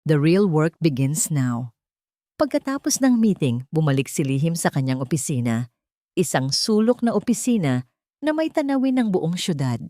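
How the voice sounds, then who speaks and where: Filipino-accented woman, slightly low voice, scripted dramatic story narration, dry close recording. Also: sing-song, normal speed, mellow, no echo.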